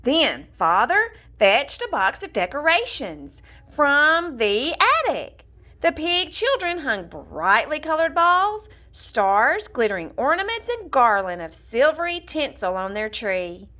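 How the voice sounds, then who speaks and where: Bright, high-pitched woman reading a children's story. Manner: expressive, deliberate, storytelling delivery. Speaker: storybook reader. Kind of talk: scripted children's story narration, read aloud.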